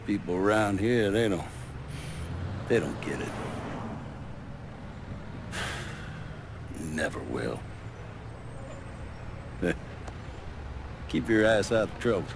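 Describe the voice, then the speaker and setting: Slow, weary old ex-Marine. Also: male voice, sits low, animated, background noise. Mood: bitter, weary. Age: older. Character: world-weary older ex-Marine, gruff, bitter, protective. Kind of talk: video game cutscene acting.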